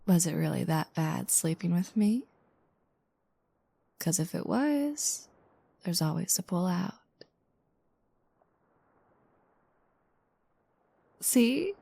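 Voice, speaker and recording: A woman playing a playful, teasing new girlfriend just waking up, speaking softly and intimately in a roleplay audio. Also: fairly low pitch, dry recording, full character voice. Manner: soft, breathy asides, teasing, unhurried.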